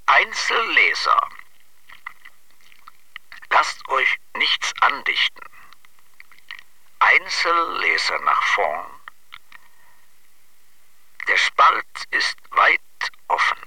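A man's voice: medium pitch, wildly swooping pitch, extremely slow, long pauses, pushed and bright, slightly rough, no echo, recorded from a distance, heavily acted, rough recording. An actor playing an absurdist man who declaims cryptic verse in fragments in a radio play. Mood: declamatory.

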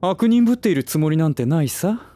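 Suave, teasing man acting a lilting gentleman schemer. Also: fairly high pitch, lively intonation, fast, lightly acted. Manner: theatrical, smug, playfully condescending. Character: suave teasing older man, playfully villainous. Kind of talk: voice drama acting.

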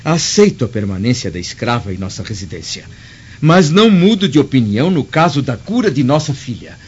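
A man's voice: medium pitch, very animated, fairly quick, slightly rough. An actor playing a proud, formal Roman senator and husband in a radio drama, pompous in his delivery. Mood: stern, unbending. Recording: muffled lo-fi radio drama, music underneath.

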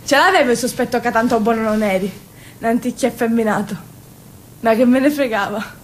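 A woman's voice: fairly quick, lightly acted. An actress playing a brash, dreamy young Sicilian woman, poor and yearning, in an emotional theatrical monologue that swings between confiding and exclaiming. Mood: tender, nostalgic. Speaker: stage actress. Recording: roomy sound, faint low rumble.

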